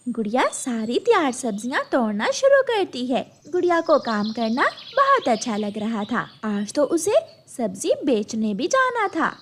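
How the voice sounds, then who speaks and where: A woman's voice: fairly high pitch, wildly swooping pitch, unhurried, few pauses, a little hiss or hum. A woman reading scripted narration for a children's cartoon story. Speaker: story narrator, also voicing bird characters.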